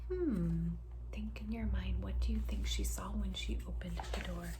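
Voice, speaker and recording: A woman reading a story aloud to young children, expressive, drawing out words, dropping into hushes and asking the listener questions. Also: mid-range voice, normal speed, background noise. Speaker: children's story reader, teacher.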